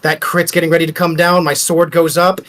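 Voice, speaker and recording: A man's voice: on the high side, flat delivery, fairly quick, a bit bright. A man talking casually as a player in a tabletop roleplaying game. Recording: background music under the voice. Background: music.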